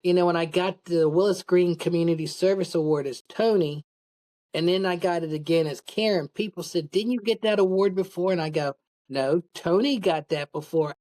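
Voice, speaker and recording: Podcast guest: middle-aged woman with a low-set voice, casual and candid, wandering through personal stories. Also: normal speed, warm tone. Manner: candid, rambling storytelling. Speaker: podcast guest, public speaker. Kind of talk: casual interview, personal anecdotes.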